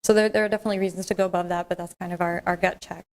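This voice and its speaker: A soft-spoken woman walks a council through policy in a level, even tone. Her voice sits a little low. She explains carefully and steadily, with the odd filler and restart. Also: rapid-fire. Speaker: city staff presenter. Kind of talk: formal presentation at a council meeting.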